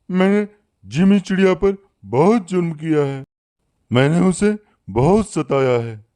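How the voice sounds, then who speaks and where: A man's voice: sits high, animated, slow pace, mellow, no echo. A man playing a bossy male hawk in a cartoon story, with a theatrical, demanding manner. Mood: remorseful, pleading. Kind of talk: Hindi cartoon story voice acting.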